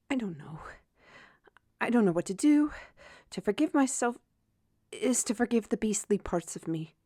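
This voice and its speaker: Slow, troubled young writer. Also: female voice, fairly high pitch, expressive, mellow, no room sound, studio quality. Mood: anguished. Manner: hesitant, halting, weary. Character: troubled, self-reproaching young woman writer. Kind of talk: intimate audio drama acting.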